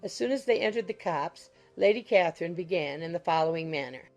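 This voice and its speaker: A woman's voice: on the low side, expressive, fairly quick, a little mellow, soft background music. A woman reading scripted literary narration as an audiobook narrator.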